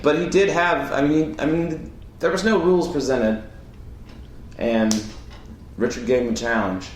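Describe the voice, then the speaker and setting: A man's voice: mid-range voice, a little slow. A man of about thirty, talking in a laid-back, rambling way as a comedian co-host. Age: 30s. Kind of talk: casual chat. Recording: roomy echo with faint hiss.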